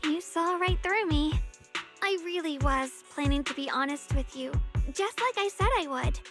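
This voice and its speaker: A woman playing a guarded, wry young swordswoman in a video game, speaking in a measured, softly dramatic way. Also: high-pitched, natural voice. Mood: candid, wistful. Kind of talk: video game story voice acting. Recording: game music playing under the voice.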